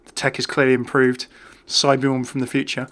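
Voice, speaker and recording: A man's voice: medium pitch, completely flat delivery, moderate pace, a bit bright. A British man hosting a gaming video with chatty, casual, off-the-cuff commentary. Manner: chatty, easily amused.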